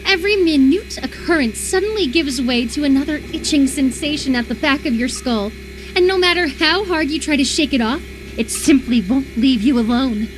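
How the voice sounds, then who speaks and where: A woman playing an obsessive, sweetly menacing young female captor in a dramatic horror visual novel, coaxing with a sharp edge. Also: high-pitched, lively intonation, normal speed, background noise. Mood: eerie, accusing.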